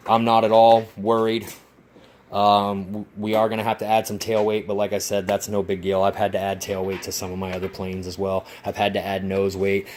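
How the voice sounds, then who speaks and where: A man vlogging casually about his model plane build, rambling and swearing with excitement. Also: on the low side, a little hiss or hum. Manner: rambling, profane, enthusiastic. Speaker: RC hobby vlogger. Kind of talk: casual workshop vlog.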